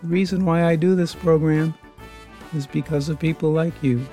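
A man's voice: a little high, fairly even intonation, moderate pace, warm tone. A man hosting an old-time radio program, reading a scripted introduction to a show. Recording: guitar music behind the voice. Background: guitar music.

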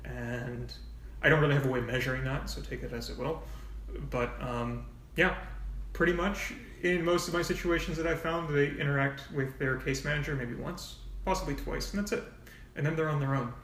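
A man's voice: fairly high pitch, fairly even intonation, moderate pace, a bit bright, distant mic, a little hiss or hum. A man speaking frankly as a nonprofit volunteer coordinator in a casual podcast interview, explaining in long runs with asides. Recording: echoey room, off-mic.

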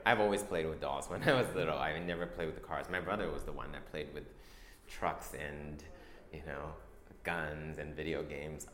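A young man from Taiwan, a fashion designer, talking about his work in a soft, hesitant way full of restarts. His voice sits very low, and the room around him is echoey with a faint hum of ambience. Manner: soft-spoken, halting, many restarts and fillers. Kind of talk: interview answers.

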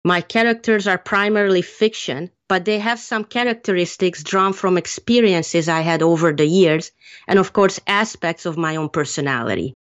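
A woman from Hungary with a fairly low voice answers interview questions in a measured, earnest way. The recording sounds muffled and lo-fi. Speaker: author being interviewed.

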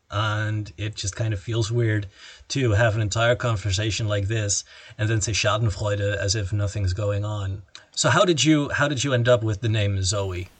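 A man's voice: lowish voice, dry recording. A man hosting a casual interview, asking playful, joking questions. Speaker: podcast host.

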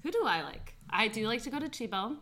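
American female, 30. Expressive and frank conversational voice with very varied pitch and an easy giggle. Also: quick pace, slight background noise. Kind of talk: casual chat.

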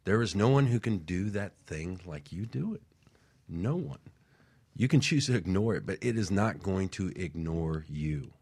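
A man's voice: sits low, expressive, moderate pace, a little mellow, breathy edge. A male co-host delivering an emphatic motivational speech with a preaching cadence.

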